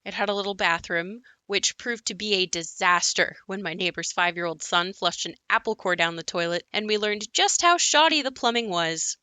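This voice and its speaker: A woman's voice: mid-range voice, moderate pace, few pauses, sharp tone, dry recording, lightly acted. A woman playing a reflective narrator who recalls her childhood in a scripted audio play monologue. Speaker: narrator of her own memories.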